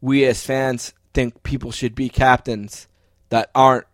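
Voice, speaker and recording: A man's voice: mid-range voice, flat delivery, unhurried, no room sound, clean studio sound. A man with a North American accent talking sports casually as a podcast co-host, opinionated and given to pointed questions and casual swearing.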